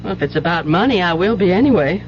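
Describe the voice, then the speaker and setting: A woman's voice: fairly low pitch, lively intonation, fast, lo-fi sound, close to an everyday voice. Noisy old radio actress as weary, folksy mother. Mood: weary, bitter. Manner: folksy, plain-spoken. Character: weary hard-working mother, wife of a drinking gambler. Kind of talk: old-time radio drama acting.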